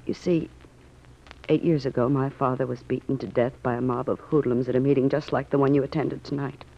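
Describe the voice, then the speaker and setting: A woman's voice: a bass voice, steady tone, brisk, creaky, heavily acted. An actress playing an earnest, secretive young woman informant in a 1940s radio drama. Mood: earnest, explaining. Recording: muffled old radio recording.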